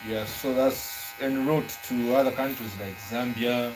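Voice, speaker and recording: A man's voice: mid-range voice, flat delivery, measured pace, background noise. A man in a barbershop chat who mostly agrees and now and then asks short questions. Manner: brief, agreeable interjections and questions. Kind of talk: casual chat.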